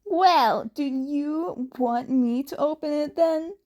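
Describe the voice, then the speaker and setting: A woman voicing an upbeat, friendly father in a story, with a lively questioning manner. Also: high voice, takes it slowly, pitched above the performer's own voice. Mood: offering. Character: upbeat, friendly adult man, a caring father.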